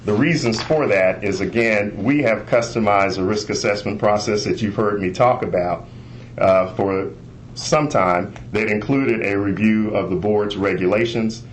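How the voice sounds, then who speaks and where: A man speaking as an internal auditor, giving a measured, formal presentation on a conference call. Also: fairly low pitch. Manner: measured, formal, bureaucratic phrasing. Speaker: internal auditor, inspector general. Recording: noisy conference call recording.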